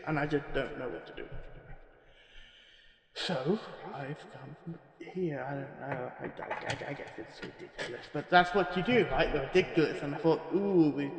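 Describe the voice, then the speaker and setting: A man's voice: fairly high pitch, lightly acted. A man playing a distressed, bewildered witness who rambles and stammers as he pleads, in a parody horror podcast monologue. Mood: helpless, pleading. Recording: echoey room with long reverb.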